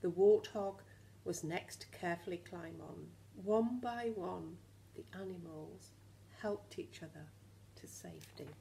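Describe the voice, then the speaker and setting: Lady teacher reading a kids book, lowish slightly gravelly voice, bit of background noise. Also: measured pace, low-quality recording. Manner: animated, questioning, addresses children directly. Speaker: teacher reading a storybook to young children. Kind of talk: expressive children's storybook reading with asides to the listeners.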